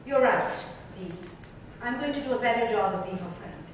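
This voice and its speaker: A woman's voice: a little high, moderate pace, natural voice. Woman performing a self-absorbed female friend, theatrical book reading, very echoey, faint noise. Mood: defensive, placating. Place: club lounge on stage-like set, indoors. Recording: echoey room, distant mic, noisy.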